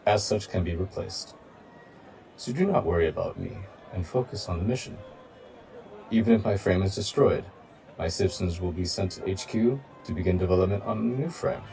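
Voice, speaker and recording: A man voicing a loyal giant combat robot AI in an audio roleplay, formal, measured and protective of a human partner, with a gravelly voice. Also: sits low, warm tone, distant mic, low-quality recording. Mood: calm, reassuring. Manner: formal, measured, literal-minded. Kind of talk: scripted audio roleplay addressed to the listener.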